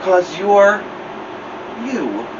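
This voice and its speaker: A man's voice: sits high, big pitch swings, drawn-out words, far from the mic, voice pushed up, full character voice. A loving storybook mother who speaks with tender pride to her child, as a man reads her aloud. The recording is dull, with plain background noise. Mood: proud, tender. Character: proud, loving mother of a young boy.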